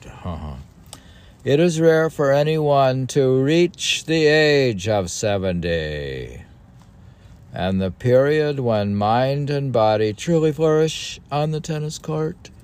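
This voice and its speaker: A man's voice: sits low, gravelly, darkish tone, a little hiss or hum. An older man reading a book aloud and adding casual, rambling, self-deprecating commentary of his own. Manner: rambling, musing, self-deprecating, repeats passages. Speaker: podcast host and reader.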